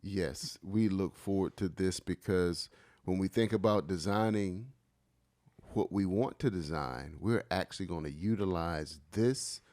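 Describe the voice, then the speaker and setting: A man's voice: sits low, a little slow, a little mellow, dry recording. A man co-hosting a podcast, talking conversationally as he introduces and questions a guest. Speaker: podcast co-host.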